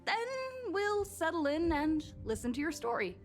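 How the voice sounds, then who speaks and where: A woman's voice: sits high, expressive, normal speed. An actress voicing a friendly young girl companion in scripted video game dialogue. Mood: cheerful, eager. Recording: game music behind the voice.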